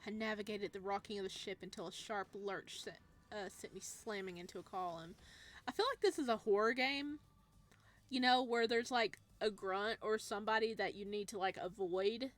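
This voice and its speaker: A woman's voice: mid-range voice, normal speed, no room sound. A woman let's player reading game text aloud and commenting casually, in a playful, giggly, reactive way. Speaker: let's player narrating a visual novel.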